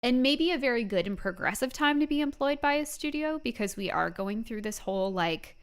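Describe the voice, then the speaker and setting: A woman's voice: fairly high pitch, animated, fairly quick, clear, resonant tone. A woman hosting a casual interview, speaking informally and sympathetically with hesitant phrasing. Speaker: interviewer, host. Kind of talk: casual chat.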